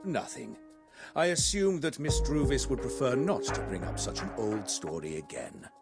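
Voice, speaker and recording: A man's voice: mid-range voice, wildly swooping pitch, normal speed. A man playing a courteous, discreet butler in a video game, speaking in a formal, deferential way. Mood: hesitant, discreet. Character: courteous, discreet butler serving his master. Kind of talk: scripted video game voice acting. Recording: loud background music.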